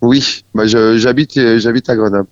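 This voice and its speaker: A man in his forties from France, a musician speaking as a guest in a casual radio interview, halting and searching for his words. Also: medium pitch, steady tone, no echo, highs cut off.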